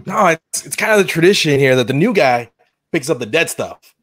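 A man's voice: a little high, lively intonation, fairly quick, a bit bright. A man reading a teasing young coworker at a garage over a video call.

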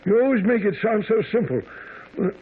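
A genial, easily amazed gentleman doctor in a radio play. His high, slightly rough voice has a hearty, old-fashioned manner. The sound is narrow vintage radio. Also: sing-song, a little fast, exaggerated voice. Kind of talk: radio drama acting and narration.

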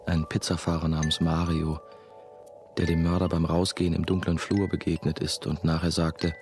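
An actor playing a brooding, haunted former novice, confiding his story in measured, terse radio drama narration. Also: low voice, level tone, music in the background. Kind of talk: first-person radio drama monologue.

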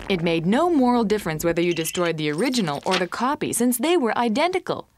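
A wary woman narrates a cartoon story, acted by a woman. Her telling is matter-of-fact and skeptical yet lively in pitch, over clear noise. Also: quick pace, close to an everyday voice. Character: wary, reasoning woman recounting a strange invitation. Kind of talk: scripted storytelling narration for animated short.

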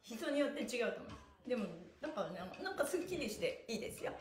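High-pitched, animated woman leading exercise. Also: fast talker. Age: middle-aged. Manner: animated, cheerful, encouraging, often laughing. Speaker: stretching instructor and pantomime teacher, live-stream host. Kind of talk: upbeat live exercise lesson, chanting cues and chatting to viewers. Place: small bare room with wooden floor, indoors. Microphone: device mic a few steps away. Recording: echoey room, mic at a distance.

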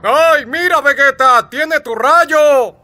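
A man's voice: very high-pitched, takes it slowly, background noise. A man playing a big, goofy, teasing alien warrior uncle in an anime parody fan dub, exuberant and childlike, with a Latin American Spanish accent. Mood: excited. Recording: faint music under the voice.

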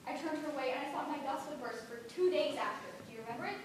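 An actress playing a frightened but defiant eighteen-year-old servant girl, with halting repeats and old-fashioned rustic speech. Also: high-pitched, lightly acted. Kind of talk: stage play performance. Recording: echoey, far-off stage audio with background noise.

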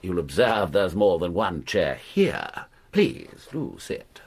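An actor playing a grandiose, bitter stage actor in a radio drama, husky and theatrically pompous. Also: male voice, low-pitched, very animated, slow pace, exaggerated voice. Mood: mock-courteous. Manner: pompous, theatrical, mock-courteous.

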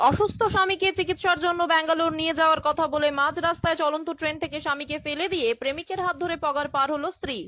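Brief: female news narrator, very high bright voice, quick sensational scripted delivery.

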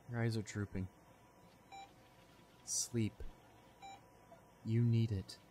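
Remorseful young boyfriend, played by a man, ASMR roleplay: slow, halting, tender, close-miked over faint background noise. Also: on the low side. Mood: tender, hushed. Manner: halting, soft-spoken, emotional delivery with pauses. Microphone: close studio condenser microphone.

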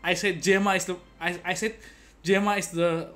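A man in his thirties giving excitable reaction commentary over gameplay, often repeating himself. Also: high-pitched, normal speed. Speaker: game streamer. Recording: game music behind.

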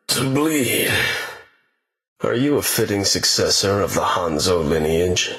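An actor playing a stern, proud ninja assassin in a video game, speaking tersely and solemnly with dramatic weight. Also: male voice, deep voice, creaky, fairly bright tone, full character voice. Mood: fierce battle cry. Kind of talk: video game hero battle lines. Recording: echoing game voice clips with long reverb.

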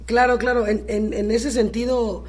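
Very husky Mexican woman guest. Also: on the high side, little pitch movement, moderate pace, darkish tone. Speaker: interview guest, community leader. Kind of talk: conversational interview talk.

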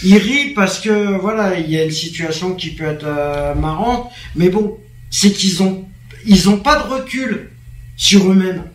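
A man's voice: sits high, a little slow. A man speaking as a panel guest, agreeing readily and trailing off into fillers. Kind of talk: casual studio discussion. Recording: echoey room, faint background noise.

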